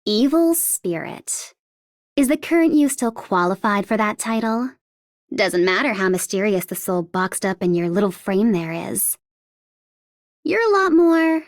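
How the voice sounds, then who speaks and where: A woman's voice: on the high side, no echo, studio quality. A woman voicing a cocky, vengeful, mischievous mercenary captain in a video game, with a taunting, sardonic and theatrical delivery. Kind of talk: English video game character voice lines.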